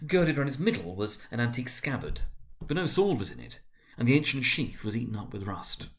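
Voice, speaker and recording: A man reading a story aloud as narrator, in a dramatic literary style with theatrical, deliberate phrasing. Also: mid-range voice, very animated. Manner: theatrical, deliberate phrasing with emphatic swells and hushed drops.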